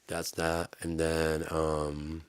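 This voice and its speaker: A man with a deep, bassy voice rambling loosely to his channel's viewers, with lots of restarts and swearing. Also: completely flat delivery, extremely slow, clear, resonant tone, no room sound. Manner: loose, profane, meandering with many restarts. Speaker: channel host. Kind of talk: rambling casual vlog.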